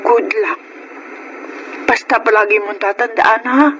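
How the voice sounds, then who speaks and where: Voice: mid-range voice, steady tone, unhurried, muffled recording, close to an everyday voice. A performer playing a loving older mother in a Tagalog radio drama, with a Filipino accent and a tender, reassuring manner. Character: loving, supportive older mother of grown child. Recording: noisy radio drama recording.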